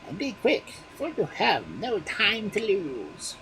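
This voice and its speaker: A set of magic bones gives out instructions in a storybook tale, voiced by a storyteller. The voice is pitched high and measured, sometimes slowing to stress a point. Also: wildly swooping pitch, bright, forceful tone, slight background noise, exaggerated voice, rough recording. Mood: instructing.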